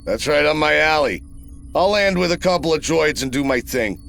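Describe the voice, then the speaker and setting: Cocky gravelly mercenary. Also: male voice, on the high side, expressive, normal speed, fairly bright tone, soft background music. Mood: wry, casual. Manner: flippant, casual, self-assured. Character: cocky wisecracking male mercenary field commander. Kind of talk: video game cutscene acting.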